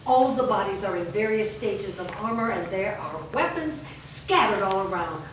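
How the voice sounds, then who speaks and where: A woman's voice: mid-range voice, expressive, normal speed. A woman reading stage directions as scripted narration. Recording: noisy, very echoey hall from far off.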